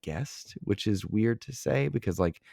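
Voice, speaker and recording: Deep-voiced man, earnest podcast host. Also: lively intonation, measured pace, gravelly, darkish tone, breathy edge, no echo, clean studio sound. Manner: earnest, heartfelt and reflective. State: losing his voice. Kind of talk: casual podcast chat.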